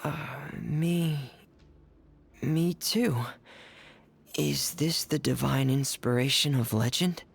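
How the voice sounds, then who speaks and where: A man voicing a shy, earnest teenage boy in a video game, with a creaky, breathy, hesitant delivery. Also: mid-range voice, steady tone, slow, pauses often, exaggerated voice.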